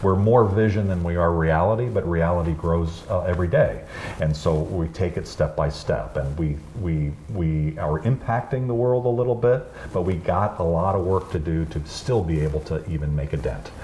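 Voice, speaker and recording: A man's voice: deep voice, moderate pace, mellow, a little hiss or hum. A man being interviewed about his organization, speaking in a casual, rambling way and often restarting his sentences. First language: English. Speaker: guest, chamber founder.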